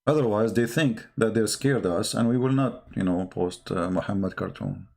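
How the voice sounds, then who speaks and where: Gravelly man with an Arabic accent. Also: lowish voice, darkish tone. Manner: blunt, combative, halting non-native English. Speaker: livestream host, religious polemicist. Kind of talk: casual livestream monologue answering chat comments.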